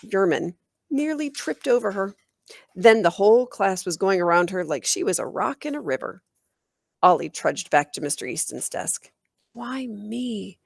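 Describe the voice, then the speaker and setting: A woman reading a children's book aloud in an animated, expressive storytelling style. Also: on the low side, gravelly, no echo. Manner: animated, acts out dialogue.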